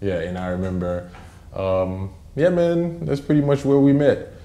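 A man hosting a casual interview in a relaxed manner. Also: medium pitch, a little slow. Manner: relaxed, switching between English and Haitian Creole. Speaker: interviewer, host. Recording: roomy echo.

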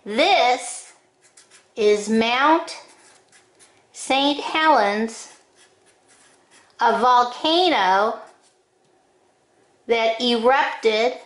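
Slow, high-voiced woman teaching drawing. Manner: short, deliberate phrases with frequent pauses. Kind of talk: step-by-step drawing instruction. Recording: roomy, echoing indoor sound.

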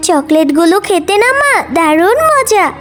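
Extremely high, sweet little cartoon girl. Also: sing-song, normal speed, fairly bright tone, faint music behind, full character voice. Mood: eager telling. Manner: childlike, eager. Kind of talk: children's cartoon voice acting.

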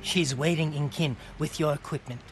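An actor playing a sly, eager sake merchant in a video game, talking quick and wheedling. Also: male voice, a little high, steady tone, over music, lightly acted. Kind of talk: video game cutscene acting.

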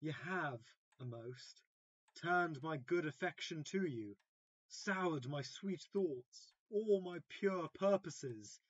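A slightly dull recording of a man acting a vain gentleman in an audio comedy. He is gullible and self-important, and he speaks slowly and theatrically in a husky voice. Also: on the high side, expressive, no room sound, full character voice. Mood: aggrieved. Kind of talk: amateur audio-drama acting of early modern comedy.

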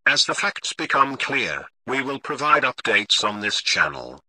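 Man playing calm television emergency announcer, formal, measured scripted bulletin, sharp bright tone, very dry sound. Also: medium pitch, no echo, heavily acted, rough recording. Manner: formal, measured, read-out delivery.

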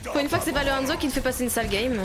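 A woman's voice: high-pitched, rapid-fire. A young woman streaming a team shooter game, chatting playfully with her teammate and laughing often. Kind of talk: casual gaming chat. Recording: game music behind.

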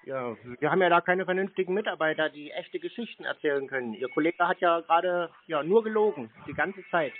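A man's voice: fairly high pitch, a little fast, few pauses. A man in an argumentative phone call, blunt, insulting and insistent with the person he is confronting. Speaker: prankster confronting phone scammers. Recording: tinny phone line with faint noise.

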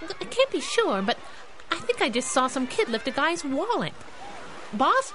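Over clear background noise comes a plucky young superhero sidekick. Her voice is fairly high and very animated. She is teasing and brash, in radio-adventure style. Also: a little slow, exaggerated voice, rough recording. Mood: excited, urgent. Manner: playful, brash. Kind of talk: 1930s-set radio superhero drama acting.

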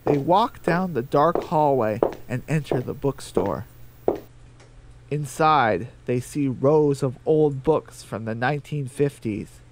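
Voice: medium pitch, takes it slowly, slight background noise. A narrator reading a scripted radio drama.

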